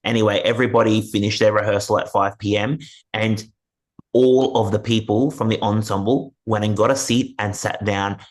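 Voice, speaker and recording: A man's voice: fairly low pitch, fairly even intonation, normal speed. A man hosting a conversational interview, earnestly advising listeners and repeating his points. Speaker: interview host.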